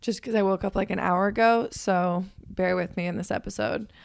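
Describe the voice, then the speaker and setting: A hoarse young woman in her twenties hosting a podcast in a chatty, upbeat way, her voice raspy from just waking up. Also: medium pitch, fairly even intonation, moderate pace. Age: 20s. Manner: chatty and upbeat, with frequent fillers. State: hoarse from waking up and a weekend of talking. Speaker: podcast host and personal trainer. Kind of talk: casual chat.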